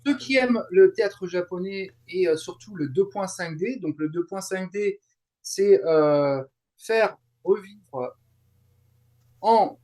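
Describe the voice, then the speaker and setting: Male guest, notably high voice, casual explanatory chat with emphatic repetition. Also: takes it slowly, a little mellow. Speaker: interview guest, translator and YouTuber.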